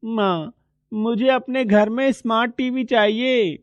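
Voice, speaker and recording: A woman's voice: fairly high pitch, steady tone, takes it slowly, no echo, lo-fi sound. A woman plays a small schoolboy from a poor family in a moral tale. He is wistful and insistent, pleading plaintively in a close, dry recording. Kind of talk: moral story character acting.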